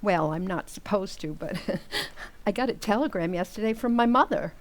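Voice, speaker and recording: Lady doing a patient loving wife in an old radio sitcom, gentle, normal midrange voice. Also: medium pitch, animated, normal speed, natural voice, poor audio. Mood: fond, eager. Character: composed, affectionate housewife and mother. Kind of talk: old-time radio comedy acting.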